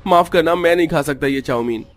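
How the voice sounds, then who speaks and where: A man's voice: on the high side, a little fast, soft background music, lightly acted. A man playing a blunt, fed-up young brother-in-law who complains emphatically in a Hindi cartoon story. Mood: disgusted.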